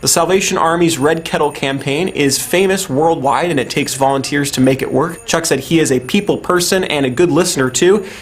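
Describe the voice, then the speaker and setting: Male American local news anchor, scripted read, higher-than-average pitch, slight background noise.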